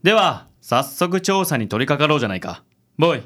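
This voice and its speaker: A man's voice: on the high side, very animated, brisk, a bit bright. A man playing a suave, overzealous, self-styled handsome man in a comic radio drama, pompous and passionate, sprinkling in English words. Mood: pompous, confident.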